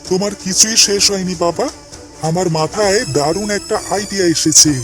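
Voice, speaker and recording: A man voicing excitable village family members, a fishmonger or his son, in a cartoon. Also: high-pitched, brisk, soft background music. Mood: eager. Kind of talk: cartoon voice acting.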